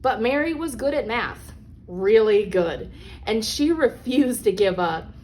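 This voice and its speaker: A woman reads a children's picture book aloud as a storyteller, with deliberate, emphatic phrasing for young listeners. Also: a little high, animated, a little mellow. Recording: clearly noisy background.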